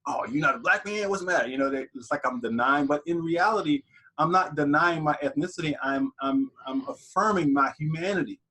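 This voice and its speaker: Male host, husky, somewhat high voice, thoughtful interviewer. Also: brisk, sharp tone. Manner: thoughtful, probing questions. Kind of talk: interview conversation.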